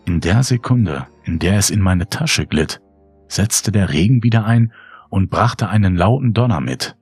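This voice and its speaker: Casting: male creepypasta narrator, very low and husky, dry close sound, faint music bed. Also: lively intonation, a little slow, a little mellow, no room sound. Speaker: horror story narrator. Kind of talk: scripted dramatic narration, creepypasta reading. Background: music under the voice.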